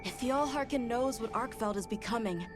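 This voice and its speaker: A woman's voice: on the high side, brisk, music in the background, close to an everyday voice. A woman playing an earnest, determined youngster in a video game cutscene. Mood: pleading, determined.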